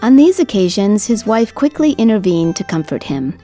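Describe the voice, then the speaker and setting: A woman reading scripted storytelling narration for a folk history podcast in a measured, literate manner. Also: medium pitch, sing-song, warm tone. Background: music under the voice.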